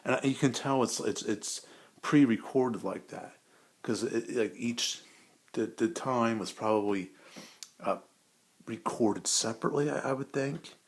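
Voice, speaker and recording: A man's voice: mid-range voice, unhurried, breathy edge. A man rambling casually and enthusiastically, with a hesitant, repetitive way of talking, like a hobby vlogger.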